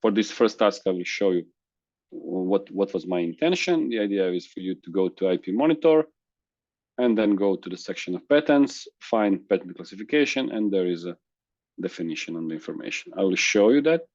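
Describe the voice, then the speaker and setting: Halting low-voiced man presenting online. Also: expressive, a little mellow, no room sound, lo-fi sound. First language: Croatian. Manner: halting, many restarts and fillers. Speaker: webinar presenter. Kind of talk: screen-share software demo. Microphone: video call.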